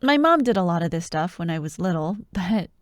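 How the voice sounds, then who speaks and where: A woman playing a bubbly, bossy young childhood friend who loves Halloween, in an animated, teasing ASMR roleplay. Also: on the low side, fairly quick, no room sound, clean studio sound. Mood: instructive, encouraging. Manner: animated, expressive, teasing, with laughs and sighs. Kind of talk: ASMR roleplay, one-sided acted conversation.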